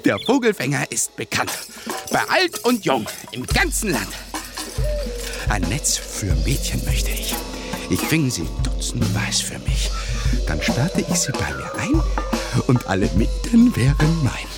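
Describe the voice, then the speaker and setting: An actor playing a jolly, boastful but cowardly bird catcher in a theatrical radio play, with comic, hesitant bluster. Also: male voice, mid-range voice, wildly swooping pitch, unhurried. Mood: cheerful. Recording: music behind the voice.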